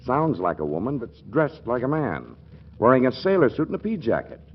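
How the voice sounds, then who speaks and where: An actor playing a dry, deferential household servant with a droll manner, in 1940s radio comedy style. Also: male voice, medium pitch, wildly swooping pitch, a little fast. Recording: dull, faintly noisy 1940s radio recording.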